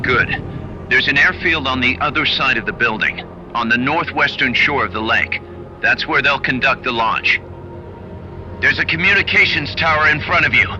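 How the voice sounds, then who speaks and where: A video game military commander with a piercing, forceful voice on a dull radio link. He sounds confident and loyal, with faint music behind him. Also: medium pitch, moderate pace. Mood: brisk, businesslike.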